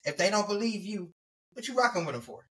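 A man co-hosting a casual podcast, a high-pitched voice and a laid-back, rambling way of talking. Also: very animated, a little fast, a bit bright, poor audio. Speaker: podcast co-host.

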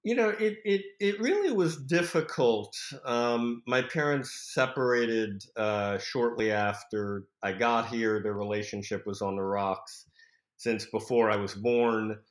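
A man born in France speaks as a podcast guest. He talks in a reflective, halting way with many fillers and restarts. His voice carries a roomy echo. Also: mid-range voice. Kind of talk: conversational interview.

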